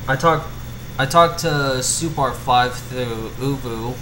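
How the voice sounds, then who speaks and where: A man with an average-pitched voice reads and chats casually. He stumbles and repeats words as he goes. The room echoes and there is steady noise behind him. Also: slow pace. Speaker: fan fiction reader. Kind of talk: amateur story reading and casual chat. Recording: roomy echo with clear background hum.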